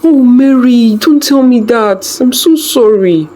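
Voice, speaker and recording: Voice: mid-range voice, slow, dark tone, slight background noise, pitched above the performer's own voice, natural voice, low-quality recording. A storyteller voicing a worried, sympathetic female friend in a folktale. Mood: dismayed. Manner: exclaiming, sympathetic.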